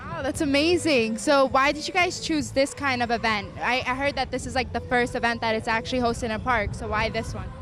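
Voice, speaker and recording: A woman's voice: on the high side, brisk, hardly any pauses, fairly bright tone. A young woman hosting a youth show, asking her guests upbeat, curious questions. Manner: upbeat and inquisitive. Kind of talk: interviewing guests.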